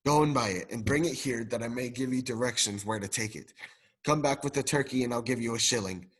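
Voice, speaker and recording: An elderly former miser, now overflowing with generosity and repentance as a male student plays him. The delivery is radio drama acting, in a medium-pitched voice. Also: fairly quick, husky, lightly acted. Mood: giddy, excited.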